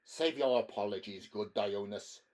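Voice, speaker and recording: A man's voice: lowish voice, normal speed, a little mellow, lightly acted. A man voicing a foppish young nobleman who lisps affectedly. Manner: lisping, affected.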